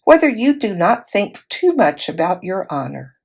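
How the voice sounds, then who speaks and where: A woman voicing a great male lion king from a storybook, solemn, wise and kindly, in a measured, regal manner. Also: mid-range voice, sing-song, gravelly, breathy edge, heavily acted. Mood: gently probing.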